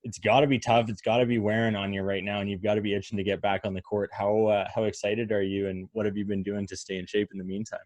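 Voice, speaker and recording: A man's voice: lowish voice, little pitch movement, fast, hardly any pauses, darkish tone, no room sound. Man hosting with a dry, close sound. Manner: friendly, rambling questions with fillers. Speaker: podcast host. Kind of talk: casual interview questions.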